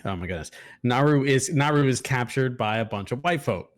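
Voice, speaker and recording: Halting, casual man talking movies. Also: mid-range voice, lively intonation. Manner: halting, rambling, profane. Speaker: movie review co-host. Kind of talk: casual film discussion.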